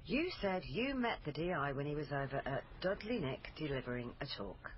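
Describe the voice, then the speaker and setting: An actress with a British accent playing a probing, authoritative police liaison officer in a naturalistic radio drama. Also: female voice, low voice, sing-song, fairly quick, highs cut off, a little hiss or hum. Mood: stern, probing. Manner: pointed, interrogating. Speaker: actor in a radio crime drama.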